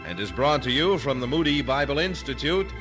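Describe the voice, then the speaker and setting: A man's voice: medium pitch, very animated, fairly quick. A man announcing in a scripted radio programme introduction. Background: organ music.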